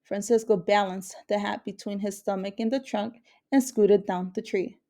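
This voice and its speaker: A woman's voice: mid-range voice, steady tone, normal speed, dark tone. A woman reading a children's picture book aloud as a storyteller. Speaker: story reader.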